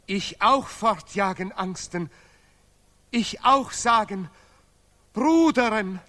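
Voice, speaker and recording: Male pompous, excitable scholar for radio play; dragging delivery, high register, broken grammar. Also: heavily acted. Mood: solemn. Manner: declamatory, chopped phrases in deliberately broken grammar. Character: second pompous male laureate scholar, zealous, sentimental, excitable. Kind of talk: absurdist 1970s German radio play.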